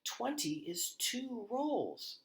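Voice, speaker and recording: A man acts out an eager little storybook girl. The voice is pitched high, excited and proud, exclaiming in a children's tale. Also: drawn-out words, heavily acted. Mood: excited, gleeful. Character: eager young girls, excited and proud counters.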